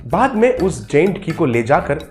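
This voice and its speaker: Male storyteller for cartoon children's tales, scripted narration, very lively intonation, music underneath. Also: on the high side, measured pace.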